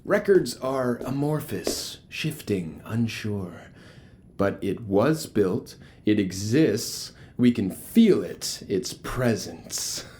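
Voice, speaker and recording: An actor playing a charismatic, ominous cult leader in a horror audio drama, preaching grandiosely with rhetorical pauses. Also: male voice, fairly low pitch, sing-song, dark tone, a little hiss or hum. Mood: measured, ominous. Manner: theatrical, grandiose, pausing for rhetorical effect.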